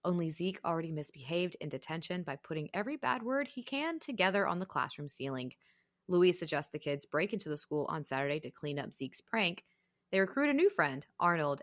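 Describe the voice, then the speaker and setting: A woman's voice: fairly low pitch, dry recording, studio quality. A woman in her thirties with a Southern accent, co-hosting a podcast in chatty, casual talk. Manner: chatty, animated, swears casually. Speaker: podcast co-host. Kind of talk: casual chat.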